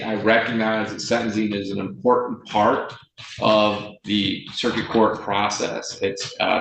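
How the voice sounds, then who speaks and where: A low, creaky-voiced American man speaks as a presiding judge. He chooses his formal words deliberately and pauses often, firm throughout. The sound is roomy and echoing. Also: fairly bright tone. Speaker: judge presiding over a court hearing. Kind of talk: formal courtroom speech on the record. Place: indoor courtroom. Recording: roomy echo, faint noise, courtroom audio.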